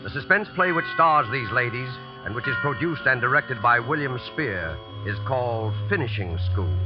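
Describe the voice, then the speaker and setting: A man introduces a radio mystery in a formal 1940s manner. His mid-pitched delivery is deliberate and dramatic, with prominent music underneath. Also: dull audio. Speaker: mystery show host.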